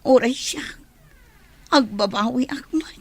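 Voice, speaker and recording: A woman's voice: on the high side, slow, slight background noise. A woman playing a sorrowful, remorseful prisoner with an Ilocano accent in an audio drama. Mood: gloomy, remorseful. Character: remorseful jailed adult woman, pleading and sorrowful. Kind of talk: Ilocano radio-style drama acting.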